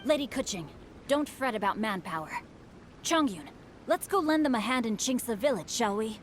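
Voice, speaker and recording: A woman's voice: on the high side, animated, normal speed, slight background noise, lightly acted. A woman voicing a polite, chivalrous teenage boy of a wealthy house in a video game, with flowery, courtly speech. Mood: earnest, chivalrous. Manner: polite, flowery, smooth-tongued. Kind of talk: English video game voice acting.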